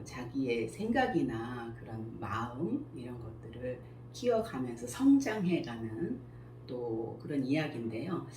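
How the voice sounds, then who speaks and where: A middle-aged woman, a school principal and picture book author, answering interview questions calmly and thoughtfully, with many pauses and fillers. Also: extremely low pitch. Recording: echoey room with faint noise.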